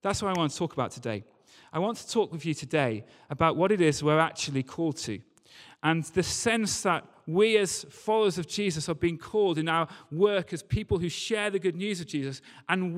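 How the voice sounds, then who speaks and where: A man giving a sermon in a conversational, storytelling way, with emphatic repetition, recorded live in a large church hall. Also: on the high side. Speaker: preacher. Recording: live talk in a reverberant hall.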